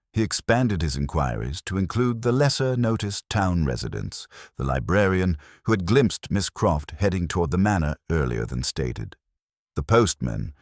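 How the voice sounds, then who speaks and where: Male narrator for scripted mystery fiction: very deep, expressive pitch movement, formal and measured, close dry sound. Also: dry recording.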